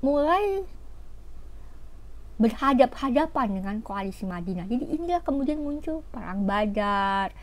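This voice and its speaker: A woman's voice: on the high side, very animated, moderate pace. A woman giving an informal history talk, explaining in a conversational way with rhetorical asides. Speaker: history storyteller. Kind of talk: informal spoken history lecture.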